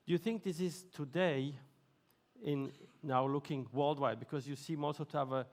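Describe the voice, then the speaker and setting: A man's voice: on the high side, normal speed, darkish tone. A man moderating an on-stage session, asking long questions in a searching, hesitant way.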